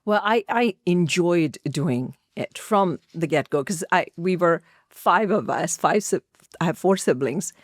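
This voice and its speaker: A woman's voice: lowish voice, animated, unhurried, no echo, clean studio sound. A middle-aged woman from India with an Indian accent, answering conversationally as an interview guest and telling personal stories. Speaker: podcast guest, actress and filmmaker.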